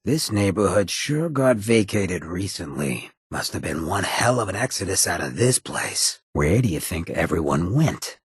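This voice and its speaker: An actor voicing a roguish, wry ghoul mayor in a video game, with a raspy voice and a sly, streetwise, swaggering drawl. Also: male voice, low-pitched, sing-song, normal speed, hardly any pauses, no echo, studio quality, heavily acted. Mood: wary, wry. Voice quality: raspy, gravelly. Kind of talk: video game character dialogue.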